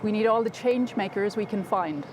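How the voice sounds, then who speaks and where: Woman host, mid-pitched voice, upbeat, fluent delivery, traffic noise behind. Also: moderate pace. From Ireland. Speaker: host of livestream interview series. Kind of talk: scripted host introduction and questions. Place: outdoors in a city. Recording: outdoor city noise behind.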